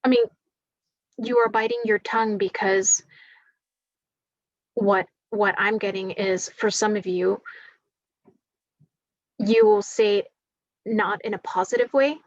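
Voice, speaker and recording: A woman with a groggy voice giving a spiritual forecast, talking slowly and wandering with many fillers. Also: medium pitch, fairly even intonation, dry recording, lo-fi sound. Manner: slow, meandering, many fillers. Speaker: spiritual reader.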